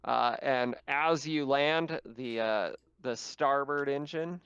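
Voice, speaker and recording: Clear-voiced man running a tabletop game. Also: mid-range voice, slow pace, a bit bright, no echo, muffled recording. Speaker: game master. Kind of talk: casual tabletop game narration.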